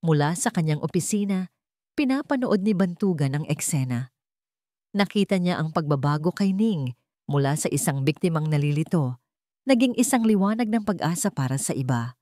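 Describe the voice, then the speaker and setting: Filipina lady telling a dramatic love story, lowish voice, super dry close sound, earnest and sentimental. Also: expressive, moderate pace, mellow, dry recording. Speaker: storyteller, narrator of an online story channel. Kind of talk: scripted dramatic romance story narration.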